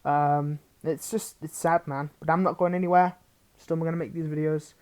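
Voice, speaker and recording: A woman's voice: deep voice, fairly even intonation, moderate pace, darkish tone. A woman hosting her own channel, giving a casual, unscripted update to listeners in a hesitant, rambling way that often breaks off and restarts. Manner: rambling, hesitant, self-interrupting. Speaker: roleplay audio creator, channel host.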